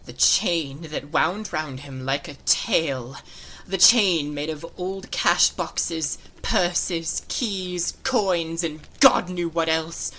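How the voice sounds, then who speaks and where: A woman narrating a classic ghost story as a dramatic audiobook, theatrical and suspenseful, with a tendency toward hushed, breathy delivery. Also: deep voice, slow pace, rough recording. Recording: loud music under the voice. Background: music.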